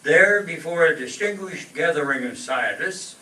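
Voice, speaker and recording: A man's voice: on the high side, animated, moderate pace. A man acting as announcer, reading scripted radio show narration on stage. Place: live stage show in a room. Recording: roomy distant stage recording with noise.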